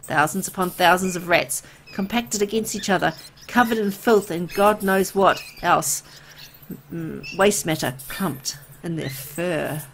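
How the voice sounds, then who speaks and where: A woman playing a weary, soft-hearted young woman who narrates her bleak town in a visual novel, reflective and conversational. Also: lowish voice, a little slow, slight background noise. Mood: pitying, somber. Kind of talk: scripted first-person visual novel narration. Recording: music under the voice. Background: background music.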